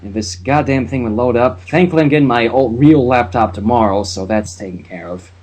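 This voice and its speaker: A man's voice: mid-range voice, brisk, darkish tone, a little hiss or hum. A man hosting a casual fan fiction reading, narrating with chatty, wry asides. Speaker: channel host and reader.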